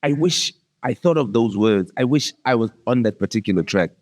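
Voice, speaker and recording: A man's voice: medium pitch, expressive, normal speed, a little mellow. A man hosting a podcast, chatting casually with a guest in slangy, familiar language. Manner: uses slangy, familiar address like "bro". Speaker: podcast host interviewing a musician. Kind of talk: casual conversational interview.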